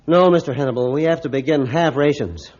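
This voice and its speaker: A man playing a grim, realistic ship's captain in a formal, deliberate, old-time radio drama style. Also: fairly high pitch, darkish tone, natural voice. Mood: stern, resolved. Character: grim, realistic male captain of an icebound ship. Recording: dull, band-limited old radio recording.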